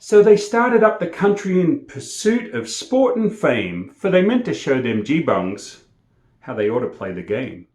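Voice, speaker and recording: A man reciting and presenting poetry in an energetic, theatrical storytelling manner. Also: on the high side, a little slow. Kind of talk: expressive poetry recitation with casual introduction. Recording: echoey room.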